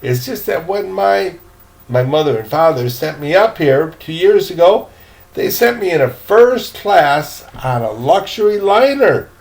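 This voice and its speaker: A man's voice: on the high side, expressive, measured pace, creaky. An older man voicing a frank, polite, well-off boy in a storybook, with matter-of-fact manners. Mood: apologetic.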